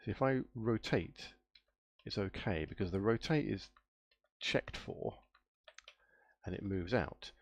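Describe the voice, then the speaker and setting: Low, gravelly, nasal man coding. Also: slow, long pauses. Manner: muttering to himself, trailing off and spelling words out while searching. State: nasal from a cold. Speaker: game developer live-streaming his coding. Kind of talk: casual thinking-aloud coding stream.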